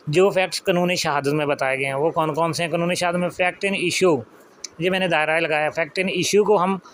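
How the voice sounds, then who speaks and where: A man's voice: a little high, fairly bright tone, slight background noise. A man with a Pakistani accent giving an explanatory lecture, reading out formal legal text and then explaining it. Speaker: law lecturer.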